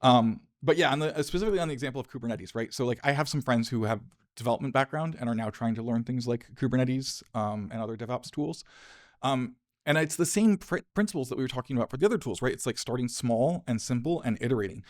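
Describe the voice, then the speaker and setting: A man's voice: medium pitch, lively intonation, a little mellow, no room sound, studio quality. Laughing man answering questions at a podium. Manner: casual, laughs often, quick asides. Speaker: conference speaker. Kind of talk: Q&A after a tech talk. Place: lecture room, at a podium. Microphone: podium mic, dry.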